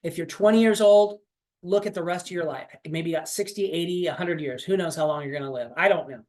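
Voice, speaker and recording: A man's voice: a little high, quick pace, darkish tone. Man in his forties giving a motivational coaching pep talk. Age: 40s. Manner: coaching, repetitive, pep-talk with many fillers. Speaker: sales coach. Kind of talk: motivational training call.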